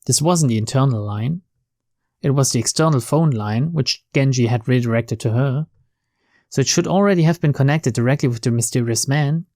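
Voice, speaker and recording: A man reading a visual novel aloud as narrator, in an expressive, dramatic storytelling style. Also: mid-range voice, normal speed, no echo, studio quality. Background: faint game music.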